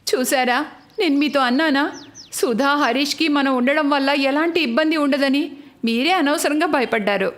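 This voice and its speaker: A woman voicing a worried mother and caring elder sister in an animated family story, concerned and affectionate. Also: high-pitched, normal speed, close to an everyday voice.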